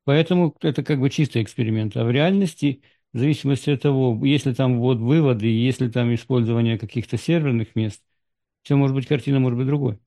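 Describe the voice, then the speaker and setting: A man hosting a conversation, asking calm, probing questions. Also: mid-range voice, quick pace, no room sound. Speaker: interviewer, host. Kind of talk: casual conversation.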